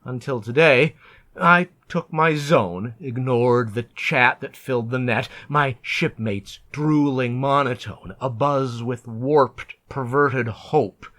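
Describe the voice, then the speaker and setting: Slow, bright-voiced man reciting poetry dramatically. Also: mid-range voice. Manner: deliberate, measured, theatrical delivery. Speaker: poet reading own work.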